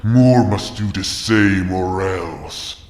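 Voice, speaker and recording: A man's voice: low-pitched, drawn-out words, roomy sound. A man voicing a bitter, vengeful beast guardian in an audio drama, scornful and commanding.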